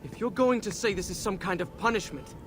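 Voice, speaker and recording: An actor playing a young heroic prince in a video game cutscene, anguished, urgent and protesting. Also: male voice, high voice, fairly quick, big-room sound, music in the background, close to an everyday voice. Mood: shocked, pleading.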